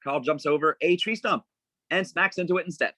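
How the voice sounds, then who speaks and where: Low-pitched, close and dry screenplay narrator. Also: brisk, pushed and bright, dry recording. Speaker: stage-direction reader in a table read. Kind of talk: scripted narration, reading screenplay stage directions.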